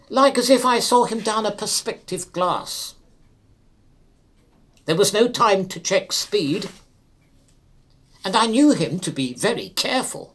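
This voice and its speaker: A man's voice: sits high, fairly bright tone, pitched above the performer's own voice, full character voice. A man voicing a distraught engine driver in an audiobook, agitated and pleading yet respectful as he recounts a fatal accident.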